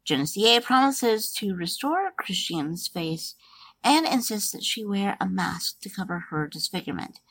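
A woman hosting a podcast, reading a steady plot recap and then chatting loosely with fillers. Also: mid-range voice, breathy edge, dry recording, poor audio. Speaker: podcast host. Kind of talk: reading a plot summary, then casual commentary.

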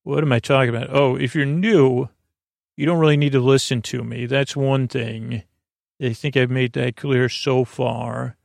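Gravelly, creaky American man rambling at bedtime. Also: mid-range voice, steady tone, darkish tone, dry recording, studio quality. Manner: meandering, halting, goes off on tangents. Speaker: podcast host. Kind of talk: rambling bedtime monologue to help listeners fall asleep.

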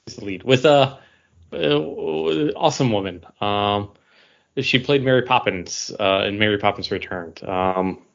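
A man's voice: fairly low pitch, measured pace, creaky, a bit bright. A man co-hosting a podcast, giving his take on shows in casual chat over a voice call. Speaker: podcast co-host. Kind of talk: casual movie and TV review chat. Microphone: internet voice call. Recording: muffled, dull voice-call audio.

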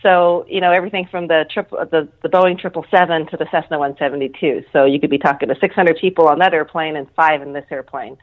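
Middle-aged female interview guest, low register, earnest and conversational, heard over a thin telephone-style connection. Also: fast talker, few pauses. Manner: earnest, informal, often rephrasing. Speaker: interview guest, air traffic control manager. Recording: thin phone-like line.

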